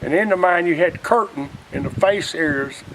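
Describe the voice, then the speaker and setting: A man's voice: a little high, normal speed. Older Southern man over noisy wind. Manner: folksy, plainspoken storytelling. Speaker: interviewee, retired coal miner. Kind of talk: casual reminiscing. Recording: noisy outdoor recording with wind.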